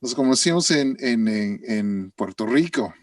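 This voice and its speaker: Halting Mexican male host, sits back from a dry mic. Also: medium pitch, animated, no echo, distant mic. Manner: hesitant, many fillers and restarts. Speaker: interview host. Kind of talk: casual interview chat.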